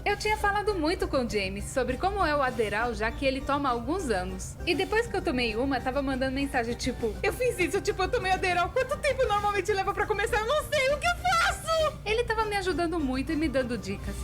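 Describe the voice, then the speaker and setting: A woman with a Brazilian accent voicing an excitable, self-mocking young cartoon narrator in an expressive, exaggerated style. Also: very high-pitched, fast talker, hardly any pauses. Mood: excited, panicky. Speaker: voice actress in a fan dub. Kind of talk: scripted comedic narration of an animated storytime video. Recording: music behind the voice.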